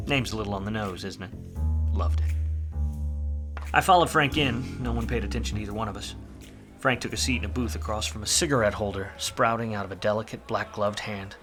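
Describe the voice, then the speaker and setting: An actor playing a world-weary, wisecracking private detective in a film noir radio play, wry and slangy. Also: male voice, on the low side, close to an everyday voice. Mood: wry, brooding. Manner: hard-boiled, wry, slangy.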